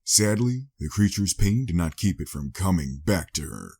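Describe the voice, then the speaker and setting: A man's voice: sits low, sing-song, normal speed, vocal fry, darkish tone, husky. A man reading a scripted story as a dramatic audiobook narrator.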